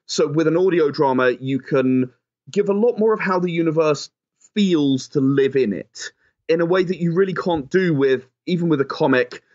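A man with a slightly high-set voice talks as an interview guest. He explains things conversationally, often qualifying his phrases. The sound is a little dull and lo-fi. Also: normal speed, a little mellow. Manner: explanatory, hedging with "sort of". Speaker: game designer and writer, interview guest.

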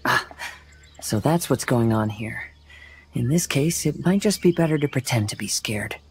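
Actor as young game swordsman-poet: soft-spoken, composed, lively intonation, a little ambient noise. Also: mid-range voice, moderate pace, natural voice. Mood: musing. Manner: soft-spoken, poetic, storytelling. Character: gentle, composed young male wandering swordsman. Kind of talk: anime game voice acting.

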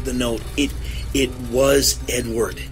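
An actor playing a shaken, grave man making a halting, brooding confession in a horror audio drama. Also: medium pitch, fairly even intonation, faint music behind, natural voice. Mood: haunted.

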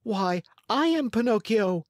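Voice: medium pitch, animated, a little slow, a little mellow, no room sound, clean studio sound, voice pushed up, lightly acted. A narrator voicing an emotional wooden puppet boy in a storybook, with a pleading, exclamatory manner. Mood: excited, anxious.

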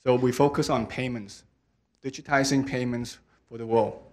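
A man's voice: mid-range voice, steady tone, normal speed, darkish tone. A man, speaking in a friendly way with many fillers as a panelist, into a handheld microphone. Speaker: engineering lead, panelist. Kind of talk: panel talk. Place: stage of a large hall with audience. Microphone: handheld mic.

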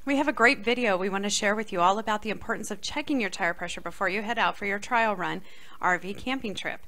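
Middle-aged woman co-hosting, mid-pitched voice. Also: fast, a bit bright. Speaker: podcast co-host. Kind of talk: scripted podcast introduction. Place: small studio set indoors. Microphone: close boom-arm microphone.

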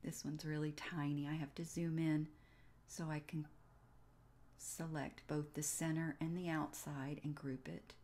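A woman giving casual step-by-step narration for a software tutorial, pausing between short phrases. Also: deep voice, level tone. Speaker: tutorial host.

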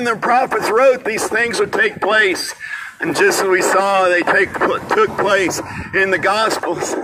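An older man preaching loudly to passersby on a city street corner, recorded by a camera from across the road. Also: high voice. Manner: loud, proclaiming to passersby. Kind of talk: open-air street preaching. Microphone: distant camera across the street. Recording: traffic noise from far off. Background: passing cars and trucks.

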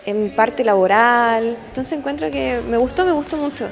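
High-voiced Chilean young woman, under the weather. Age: young adult. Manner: hesitant, halting, with restarts. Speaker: pageant contestant. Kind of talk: casual interview. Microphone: handheld mic. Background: music.

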